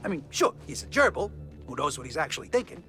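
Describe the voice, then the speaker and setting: A man's voice: on the high side, very animated, quick pace, pushed and bright, soft background music. A man voicing a cheeky, boastful gadget inventor in a video game cutscene, cocky and salesman-like. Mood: defensive.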